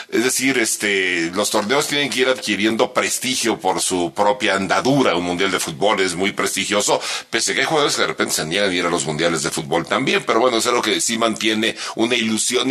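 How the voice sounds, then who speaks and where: Low, bright-edged Mexican male sports commentator. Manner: discursive, reflective, ending points with tag questions. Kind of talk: conversational opinion commentary.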